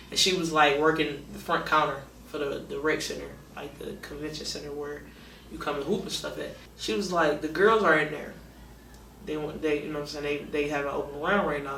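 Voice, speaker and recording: Young woman, deep monotone voice, rambling storyteller, roomy echo, distant mic, music underneath. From Detroit. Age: young adult. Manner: laid-back, rambling, heavy with fillers. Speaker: college basketball player. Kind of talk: documentary interview storytelling.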